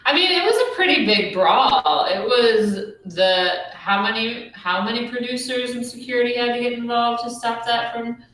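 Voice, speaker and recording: Woman with a low voice chatting on a podcast, bright edge, really echoey and a bit far from the mic. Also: normal speed, few pauses. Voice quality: deep voice for a woman. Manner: musing, hesitant, with many ums and likes. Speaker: podcast co-host. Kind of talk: casual recap chat.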